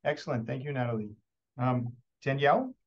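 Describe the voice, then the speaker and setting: A man's voice: mid-range voice, expressive, brisk. Polite man host on a muffled call. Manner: brief, polite, calling names. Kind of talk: moderating introductions on a video call. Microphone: video call. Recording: muffled video call audio.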